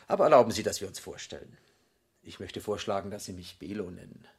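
A gentlemanly devil for radio drama. His voice is rather low and husky, and he talks with ceremonious politeness, like a smooth dealmaker. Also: fast. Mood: courteous, suave. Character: suave, courteous male devil posing as a foreign gentleman. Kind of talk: German radio drama acting.

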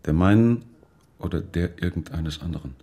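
Very deep-voiced, bitter grieving father. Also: moderate pace, dark tone. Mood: bitter, weary. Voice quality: very deep, husky. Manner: curt, dismissive, formal. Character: grieving, bitter, guarded father, a distrustful learned man. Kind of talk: radio play acting.

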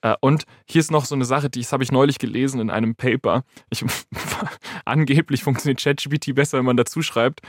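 A man's voice: medium pitch, brisk, few pauses, dry recording, studio quality. A man in casual explanatory talk, thinking aloud as he works. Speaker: AI expert guest. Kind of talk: casual explanatory talk while typing prompts.

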